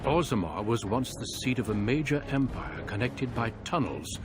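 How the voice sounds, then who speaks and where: An actor playing a solemn warrior who narrates a fantasy game with a grave, measured, portentous delivery. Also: male voice, mid-range voice, animated, close to an everyday voice. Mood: measured, stately. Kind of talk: scripted cinematic game narration. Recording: loud music under the voice. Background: loud music.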